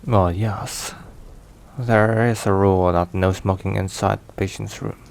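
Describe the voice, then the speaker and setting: Man acting a low, unhurried, weary mafia boss. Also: fairly even intonation, vocal fry. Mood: casually firm. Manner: hushed, unhurried, sighing between phrases. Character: weary, caring male mafia boss, protective boyfriend. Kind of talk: ASMR boyfriend roleplay.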